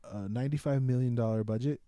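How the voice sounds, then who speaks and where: Mellow, dark-voiced man chatting about movies. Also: mid-range voice, quick pace, no echo. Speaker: podcast co-host reviewing films. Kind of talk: casual movie banter with swearing.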